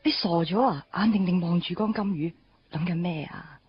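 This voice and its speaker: A woman's voice: lowish voice, moderate pace, muffled recording, soft background music, lightly acted. An actress with a Hong Kong Cantonese accent playing a playful, candid young woman in a radio drama, casual and teasing.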